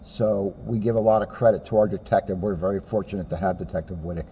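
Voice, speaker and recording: Male police officer, casual explainer; lowish, dark relaxed tone, slightly lo-fi recording with light noise. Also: fast. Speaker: police officer commenting during a read-aloud. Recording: dull lo-fi sound with faint noise.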